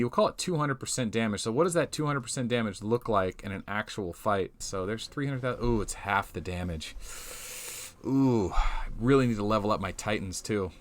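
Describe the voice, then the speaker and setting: A young man, slightly hoarse from losing his voice, giving casual commentary over a mobile game replay and thinking aloud as he goes. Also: lowish voice. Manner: rambling, restarts often, thinks aloud. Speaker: game channel host.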